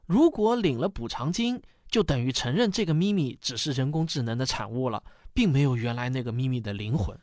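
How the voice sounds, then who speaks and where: A man's voice: mid-range voice, lively intonation, fairly quick, few pauses, darkish tone, no room sound, close to an everyday voice. Radio drama father played by a man: patient explainer, varied melody, close dry recording. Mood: patient, explaining. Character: patient father explaining things to his child.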